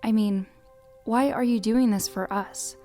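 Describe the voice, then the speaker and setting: A woman voices a young girl sidekick in a motion comic. She sounds soft and earnest, questioning at an unhurried pace in a fairly high, mellow voice, with faint music underneath. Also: steady tone, close to an everyday voice. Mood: puzzled, earnest.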